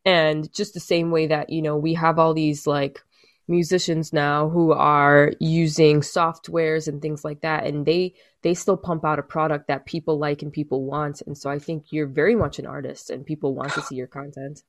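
A woman hosting a casual interview, asking questions and affirming her guest warmly. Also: deep voice, little pitch movement, moderate pace. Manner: encouraging, affirming. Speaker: host.

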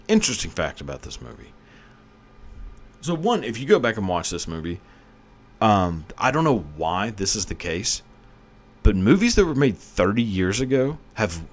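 Deep-voiced rambling podcast host. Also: male voice. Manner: rambling, joking, profane, with frequent fillers. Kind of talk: casual solo commentary.

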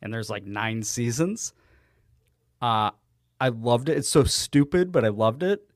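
Man, casual podcast co-host, relaxed rambling talk, mid pitch, dry close sound. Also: no room sound. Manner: easygoing, drawn-out, swears. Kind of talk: casual chat.

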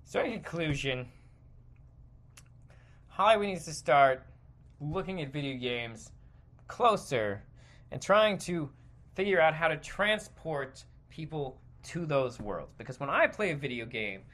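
An American man with a bright, forceful voice delivers a comedic podcast rant. His pitch swings widely as he repeats himself for emphasis. He sounds exasperated and swears freely. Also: sing-song, a little slow. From United States. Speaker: podcast host. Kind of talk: stream-of-consciousness comedic rant.

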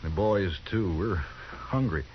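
An actor playing a cocky, mocking outlaw gang leader in a 1950s radio drama. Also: male voice, low voice, slow, highs cut off, a little hiss or hum. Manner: mocking, smug.

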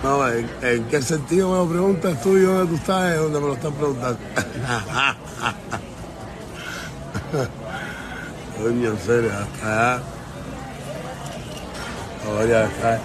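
A man's voice: medium pitch, slow pace, pauses often, noisy background. A man from Cuba with a Cuban Spanish accent, ranting casually to his viewers, joking and swearing, often breaking into laughter. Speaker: vlogger.

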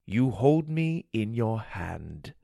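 A man voicing a soft, wise talking sword. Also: on the low side, no echo, studio quality, lightly acted. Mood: calm, wise. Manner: soft, calm, slow and measured. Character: ancient wise male enchanted talking sword. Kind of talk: storybook character voice.

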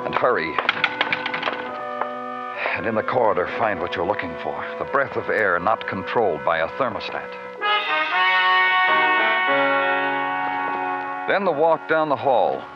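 A male actor plays a hard-boiled police detective from an old radio drama, his voice on the low side and brooding. He narrates the city in deliberate, weary poetry. The broadcast is muffled and old, with loud music behind. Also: natural voice. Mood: somber, weary. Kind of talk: 1950s radio noir acting, poetic narration.

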